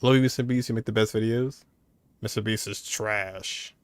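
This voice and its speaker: A man's voice: lowish voice, steady tone, moderate pace, smooth, no echo. A man streaming a video game, giving casual, laid-back live commentary in short muttered reactions.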